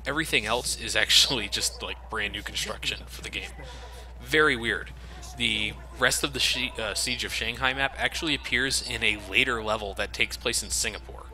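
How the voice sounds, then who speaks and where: A man's voice: fairly low pitch, normal speed, sharp tone, poor audio. A man commentating over a video game, joking sarcastically and swearing freely. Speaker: let's play commentator. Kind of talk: casual gameplay commentary.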